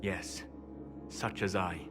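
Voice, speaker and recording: Calm, measured elven companion. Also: male voice, deep voice, music in the background. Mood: calm, persuasive. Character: calm, gentle male elf companion, quietly persuasive. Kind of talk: dramatic fantasy game acting.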